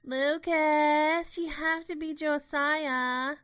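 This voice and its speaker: A woman's voice: sits high, steady tone, drawn-out words, a bit bright, dry recording, voice pushed up, heavily acted. A woman voicing a whiny, pleading teenage girl in an audio drama. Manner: whining.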